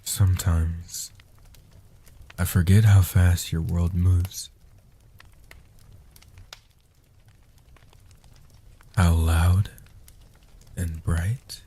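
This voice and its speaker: A man playing an ancient, protective male vampire in a soft-spoken comfort roleplay, speaking in a breathy, hushed, deliberate and soothing way. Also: low voice, little pitch movement, slight background noise. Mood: gentle, reflective. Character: ancient male guardian vampire, protective, tender, world-weary.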